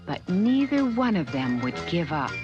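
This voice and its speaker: A woman's voice: on the low side, sing-song, a little slow, highs cut off. Woman storyteller over prominent music. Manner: dramatic storytelling. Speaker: narrator. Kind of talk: scripted storybook narration.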